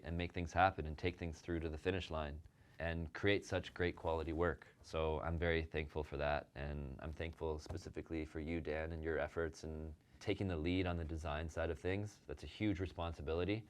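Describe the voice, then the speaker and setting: A man's voice: a bass voice, fairly even intonation, a little fast. A man hosting an interview, speaking casually and praising his guest with many fillers. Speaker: show host and agency owner.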